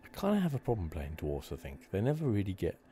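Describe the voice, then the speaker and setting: A soft-spoken man giving hesitant, rambling ASMR commentary as host of a video game playthrough. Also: deep voice, huge pitch swings, fast.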